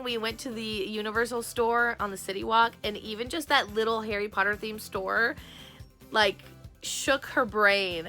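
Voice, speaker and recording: A woman vlogger telling a story in a chatty way, gushing and excitable, her voice hoarse from losing it. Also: medium pitch.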